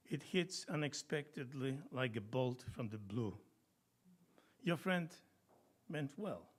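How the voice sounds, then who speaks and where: A man reading his own poems and stories at a live event in a deliberate, measured way. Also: medium pitch, husky. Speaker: poet reading own work. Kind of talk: live poetry reading with storytelling.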